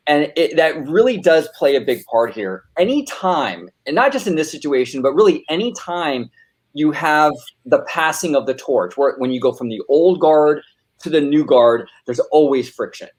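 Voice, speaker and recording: A man's voice: a little high, lively intonation, moderate pace. A man hosting a casual commentary discussion, making his points with emphasis. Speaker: discussion host.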